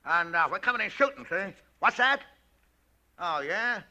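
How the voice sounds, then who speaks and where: Swaggering 1940s radio gangster, high-pitched and piercingly forceful, clipped threats, faint music under. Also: male voice, sing-song, moderate pace, exaggerated voice. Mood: snarling, tough. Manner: clipped tough-guy talk, tagging orders with "see?". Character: swaggering, menacing movie gangster, a clerk's daydream self.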